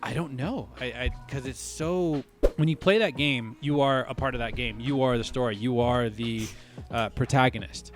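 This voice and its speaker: A man's voice: mid-range voice, moderate pace, few pauses, over music. A man co-hosting a casual podcast discussion, thinking aloud with frequent restarts and asides like "you know what I mean". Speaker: podcast co-host.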